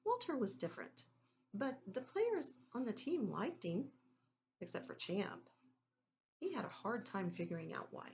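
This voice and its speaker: A woman reading a children's story aloud in an expressive, deliberate teacherly way, pausing to put questions to her listeners. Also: fairly high pitch, muffled recording, slight background noise.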